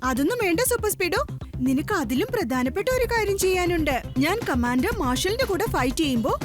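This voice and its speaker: A woman's voice: very high-pitched, lively intonation, fairly quick, over music. A woman voicing a brave, determined young boy superhero in a dubbed children's cartoon, speaking earnestly. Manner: earnest, instructing.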